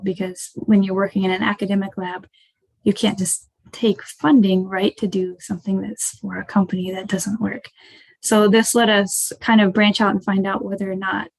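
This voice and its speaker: A woman's voice: medium pitch, darkish tone, no echo, rough recording. An American woman giving an informal, conversational webinar talk as a startup co-founder over a video call. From rural Ohio, United States. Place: indoors, online video call.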